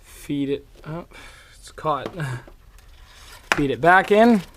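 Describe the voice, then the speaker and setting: A hoarse man with a slightly high voice runs a relaxed, chatty car how-to demonstration outdoors beside his pickup. He talks straight to the viewer while filming one-handed, over a faint hum of outdoor ambience. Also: slow pace. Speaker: car how-to video host. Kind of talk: casual hands-on demonstration. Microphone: camera held in one hand.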